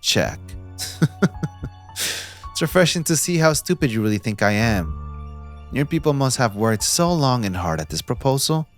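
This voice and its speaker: A man playing a possessive, manipulative young mafia heir in an audio roleplay, condescending and smoothly taunting with theatrical politeness. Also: mid-range voice, sing-song, moderate pace, pauses often, soft background music, lightly acted. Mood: amused, sarcastic. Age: young adult. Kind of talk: scripted ASMR audio roleplay addressed to the listener.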